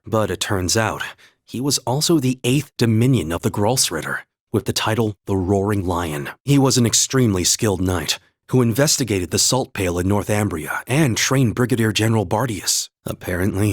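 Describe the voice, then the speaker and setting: A man playing an earnest young holy knight in a video game, with a husky voice and a measured, formal, noble delivery. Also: on the low side, no room sound, clean studio sound. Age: young adult.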